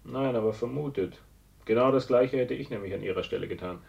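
An actor playing a proud, ruthless industrialist with a commanding, defiant manner in a radio drama. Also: male voice, medium pitch, a little fast, vocal fry, muffled recording, close to an everyday voice. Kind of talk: 1970s German radio drama acting.

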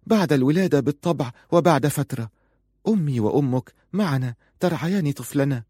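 A man's voice: on the high side, lively intonation, brisk, mellow, husky, no room sound, studio quality, pitched above the performer's own voice. A man voicing a passionate, pleading and defiant young wife in audiobook dialogue, insistent and emotional. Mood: eager. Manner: insistent, emotional, bitter at times.

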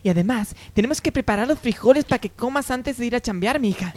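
A woman playing a caring, cautious mother who scolds gently, in a radio soap opera. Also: a little high, lively intonation, fast talker. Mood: scolding.

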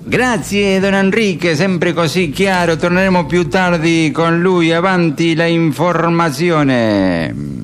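An actor playing a whimsical, courteous radio host in a radio play, announcing in a hesitant, conversational way. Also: male voice, fairly high pitch, lively intonation, slow, slight background noise. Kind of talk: radio theatre hosting.

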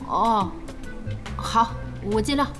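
An actress playing a nervous but eager young female gamer, excitable and expressive, in an audio drama. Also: fairly high pitch, slow pace, music in the background. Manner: excitable, expressive, wide-eyed. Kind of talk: Chinese audio drama acting.

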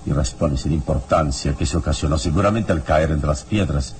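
An actor playing a calm, grave, heroic adventurer in a radio serial, speaking with measured authority. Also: male voice, very deep voice. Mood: grave, reassuring. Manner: measured, authoritative, solemn dramatic delivery. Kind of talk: Spanish-language radio serial acting.

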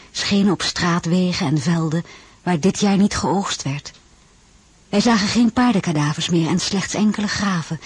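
An actress playing a reflective marshal's wife, narrating her own story in a husky, measured, wistful voice. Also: lowish voice, full character voice. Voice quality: husky, breathy. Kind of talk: first-person dramatised narration.

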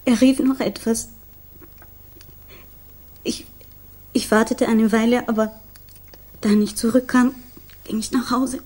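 An actress playing a grief-stricken, loyal young fiancée, soft, breathy and subdued, in a 1960s German radio crime drama. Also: female voice, on the high side, steady tone, measured pace, pauses often. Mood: troubled, subdued. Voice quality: soft, breathy, often near whisper. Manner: hesitant, subdued.

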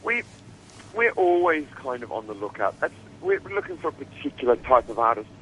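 Australian man, bright voice, rambling. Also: mid-range voice, big pitch swings, brisk, a little hiss or hum, poor audio. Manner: rambling, many restarts and fillers. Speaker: guest, creative producer. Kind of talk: casual interview talk.

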